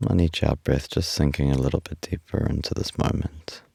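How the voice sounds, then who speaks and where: A man leading a guided body scan meditation in a calm, unhurried, soothing way, leaving long pauses between instructions. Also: a bass voice, creaky, husky, no echo, studio quality.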